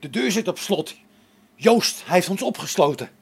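An amateur actor in a radio play as an excitable, sarcastic space crewman, a man with a biting, exclamatory manner. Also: sits high, normal speed, gravelly, slightly rough, exaggerated voice. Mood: exasperated, sarcastic.

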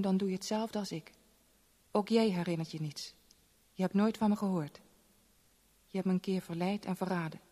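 An actress playing a proud, bitter young rich woman, speaking in a measured, cold, solemn way in a 1970s radio play. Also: on the low side, warm tone, lightly acted. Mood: bitter, threatening. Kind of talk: 1970s Dutch radio play letter monologue. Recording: long reverb on old radio drama.

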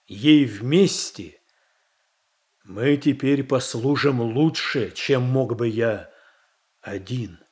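An actor playing a measured, self-assured statesman in a verse radio play, speaking in a deliberate, formal, declamatory way. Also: medium pitch, darkish tone. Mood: conciliatory. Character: measured, self-assured statesman boyar defending his reforms.

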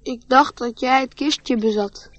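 Radio play young boy: dreamy, trusting, unhurried, slightly muffled dry recording. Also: high-pitched, no room sound. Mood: earnest.

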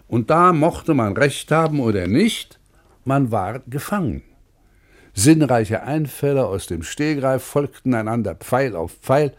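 An actor playing a writer's admiring friend, recalling him in a composed, articulate way for a radio feature. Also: male voice, mid-range voice, a little mellow, natural voice. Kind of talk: literary reminiscence read in a radio feature.

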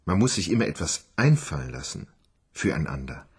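A man's voice: low-pitched, very animated, fairly quick, a little mellow, breathy edge, heavily acted. An actor playing a naive, childlike grown man who earnestly repeats his girlfriend's sayings. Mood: dreamy. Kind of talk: radio play acting.

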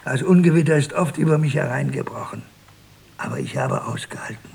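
A man's voice: medium pitch, a little fast. An actor playing a defiant, cranky old husband in his eighties, declaiming in a radio drama. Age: 80s. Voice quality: husky, gravelly. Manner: declamatory, swinging from shouts to whispers. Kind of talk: 1960s German radio drama acting.

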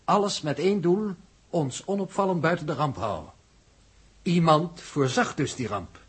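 An actor playing a dry, persistent, suspicious spaceman who reasons deliberately in a 1960s Dutch radio drama. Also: fairly high pitch, lively intonation, breathy edge. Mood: pointed, suspicious.

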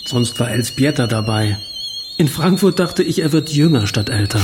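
An actor playing a self-doubting writer in a radio drama, recounting things in a reflective, confessional way. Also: male voice, mid-range voice, lively intonation, moderate pace, noisy background, close to an everyday voice. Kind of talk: radio drama narration and dialogue.

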